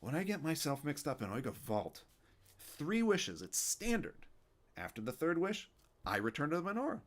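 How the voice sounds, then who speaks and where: Brief: grumbling old wisecracking genie, loud and raised-up voice, bouncy questions, put on by a male reader. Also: on the high side, big pitch swings, normal speed, pitched above the performer's own voice. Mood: impatient. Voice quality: kvetching, wisecracking, sing-song questions. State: out of breath, tired. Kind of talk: storybook read-aloud.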